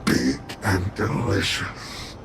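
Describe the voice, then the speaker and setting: A man voicing an ominous, mad monstrous being in a video game, speaking in a halting, drawn-out way. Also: deep voice, lively intonation, vocal fry, background noise, heavily acted. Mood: ominous, deranged.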